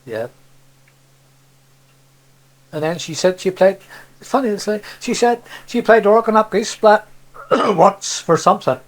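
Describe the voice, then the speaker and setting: An older man with a Cornish accent talks in a casual, reminiscing way. His voice sits a little higher than most men's. He rambles from one story to the next, tagging phrases with "see" and "you know". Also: lively intonation, unhurried, long pauses, low-quality recording. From Cornwall, England. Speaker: interviewee, local dialect speaker.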